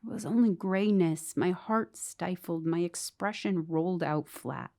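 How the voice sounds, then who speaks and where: A woman's voice: lowish voice, unhurried, dark tone. An actress playing a brooding, insecure woman who narrates her troubled romance as an intimate, bitter, haunted ghost story monologue. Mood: bleak, bitter. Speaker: narrator and lead character of a horror audio drama. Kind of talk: dramatic first-person ghost story monologue. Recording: music behind the voice. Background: musical underscore.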